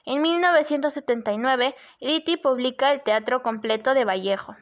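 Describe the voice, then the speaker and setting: A woman reading a narration in a steady, informative way. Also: sits high, moderate pace, dull audio. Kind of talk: read narration.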